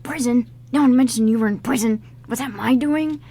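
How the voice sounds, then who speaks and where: A woman's voice: a little high, a little fast, dark tone, slightly rough. A woman playing an insecure, earnest young man in an amateur radio drama. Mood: shocked, concerned. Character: insecure, guilt-ridden young man, a loyal buddy.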